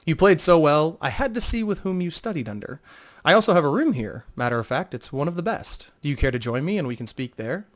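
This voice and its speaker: A man's voice: fairly high pitch, expressive, brisk, pitched above the performer's own voice, close to an everyday voice. A man voicing a flirtatious, playful woman adventurer on a secret mission in a dramatic fantasy audiobook reading. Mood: flirtatious, coy.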